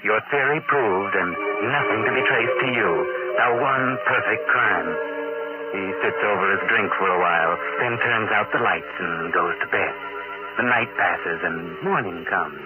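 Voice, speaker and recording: An actor playing an ominous, mocking narrator who taunts a killer in a 1940s radio mystery, on a tinny old recording. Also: male voice, fairly low pitch, a little slow, loud music behind the voice, close to an everyday voice.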